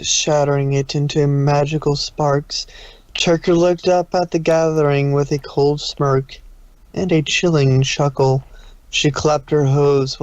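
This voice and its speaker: A story narrator with an androgynous, mid-pitched voice reads fan fiction from a script. The reading is slightly stumbly, and the audio is dry and lacking in detail. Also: a little mellow, dry recording. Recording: dull, muffled dry audio.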